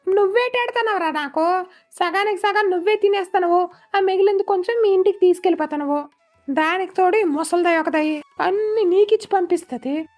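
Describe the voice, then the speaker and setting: Very high, cheeky cartoon parrot. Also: female voice, moderate pace, darkish tone. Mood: scolding. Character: cheeky, outspoken female pet parrot who loves freedom. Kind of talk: animated moral-story voice acting. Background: faint music.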